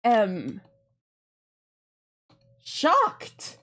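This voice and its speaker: A woman's voice: on the high side, huge pitch swings, extremely slow, a bit bright. A woman streaming a game with casual, exclamatory live commentary, often repeating her phrases. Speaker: streamer playing a visual novel. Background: game music.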